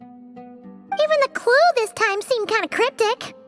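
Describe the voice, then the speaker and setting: A woman's voice: very high-pitched, slow, faint music behind. A woman voicing a small, childlike, chirpy fairy companion in a video game. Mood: puzzled, weary. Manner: talks of self in third person.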